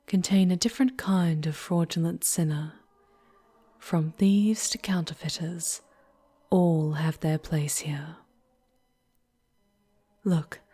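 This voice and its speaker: A woman playing a charming, teasing demon guide in an ASMR roleplay, speaking intimately in formal, old-fashioned phrases with a breathy, often whispery voice. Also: lowish voice, warm tone, full character voice. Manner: slow, formal, old-fashioned storybook diction.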